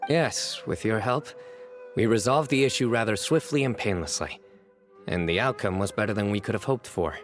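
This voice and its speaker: A man voicing a stern, composed fantasy official in an anime game, formal, measured and deadpan. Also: on the low side, faint music behind, close to an everyday voice. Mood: composed, dry.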